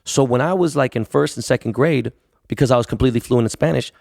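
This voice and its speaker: Podcast host, man, Korean first language, rapid delivery, excitable and full of slang, dry close mic. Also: medium pitch, vocal fry, no echo. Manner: excitable, slangy and quick to react. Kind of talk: casual podcast conversation.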